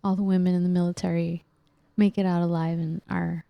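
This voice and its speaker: A woman from the United States chatting casually as a podcast co-host, relaxed, with lots of fillers. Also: on the low side, brisk, creaky, smooth, dry recording, lo-fi sound.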